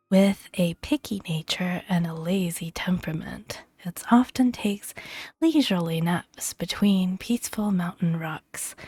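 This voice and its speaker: A woman speaking softly and gently in a breathy near-whisper, narrating a video game as ASMR. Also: fairly low pitch, dry recording. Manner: gentle, hushed delivery. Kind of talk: soft-spoken ASMR video game commentary. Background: soft background music.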